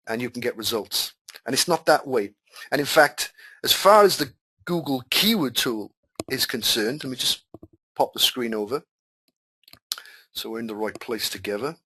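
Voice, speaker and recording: A man whose first language is Welsh runs a live online class, reading questions aloud and answering them at length. He talks in a chatty way and repeats his points, and his pitch sits in an ordinary middle range. It sounds like he is on a computer mic during a call. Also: a bit bright. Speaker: webinar host and marketing coach. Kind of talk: live webinar Q&A.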